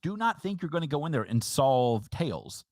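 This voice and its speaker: A man's voice: fairly high pitch, big pitch swings, moderate pace, a little mellow, no room sound, studio quality. A man co-hosting a casual podcast, talking emphatically and opinionated, teasing his co-host. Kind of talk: casual chat about a card game.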